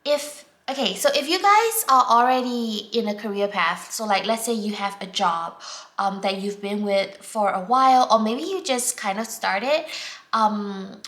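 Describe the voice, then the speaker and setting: Slow, soft-spoken woman. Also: medium pitch, animated. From Singapore. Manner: gentle, unhurried, many fillers, drops to a near whisper while drawing cards. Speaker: tarot reader. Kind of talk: casual pick-a-card tarot reading. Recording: echoey room, faint background noise.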